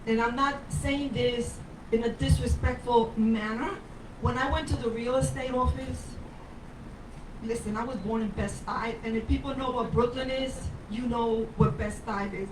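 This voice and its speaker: An older woman from Puerto Rico speaks as a mayoral candidate, emphatic and wandering through personal stories. Her voice sits high. She is picked up from a distance in an echoey bar, with clear crowd noise underneath. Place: bar with a live audience. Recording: roomy sound from off the mic.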